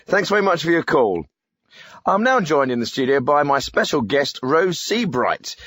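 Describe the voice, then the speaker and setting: English male comedy phone-in host, slightly high voice, dry studio sound, earnest, bumbling, hesitant. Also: sing-song, moderate pace, fairly bright tone, no echo. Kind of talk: spoof radio phone-in presenting.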